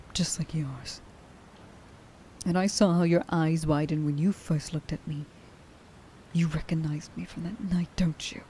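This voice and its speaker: Woman playing a dark-voiced, menacing werewolf huntress. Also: deep voice, a little hiss or hum. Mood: accusing. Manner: menacing, contemptuous, deliberate, often dropping to a breathy whisper. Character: stern, vengeful female werewolf huntress, grieving a lost friend. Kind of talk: ASMR roleplay monologue, addressed to the listener.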